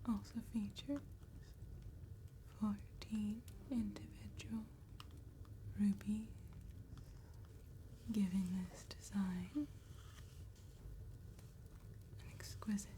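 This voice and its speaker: A woman playing an elegant, courteous vampire tattoo artist in a soft ASMR roleplay, whispering in a gentle, formal, precise way. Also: medium pitch, level tone, slow, pauses often, a little hiss or hum, lightly acted, rough recording. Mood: calm, admiring. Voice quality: breathy whisper.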